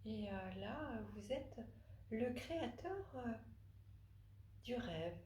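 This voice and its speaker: A woman leading a guided relaxation as a yoga nidra instructor, speaking haltingly with long pauses and frequent hesitations. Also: a little high, animated, darkish tone. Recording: roomy echo, faint background noise.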